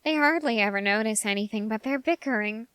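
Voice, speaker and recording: A woman playing an obsessive young male soldier fascinated by demons in an ASMR audio roleplay, with intense, halting, formally worded delivery straight to the listener. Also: fairly high pitch, a bit bright, clear, resonant tone, no room sound, clean studio sound, natural voice. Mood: frustrated, suspicious.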